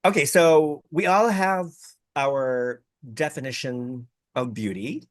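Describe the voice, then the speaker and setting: A man's voice: mid-range voice, takes it slowly, dry recording, studio quality. A man hosting an interview over a video call, rambling and friendly, often repeating words as he gets his thoughts out. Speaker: interviewer, host. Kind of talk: casual interview chat.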